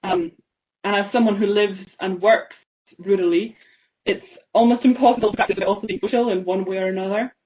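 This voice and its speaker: A woman's voice: medium pitch, fairly even intonation, fairly quick. Young Scottish woman on a tinny video call. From Isle of Lewis, Scotland. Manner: frequent ums, thoughtful. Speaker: seminar speaker. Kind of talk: online seminar presentation. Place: small room at home. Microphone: laptop webcam on a video call.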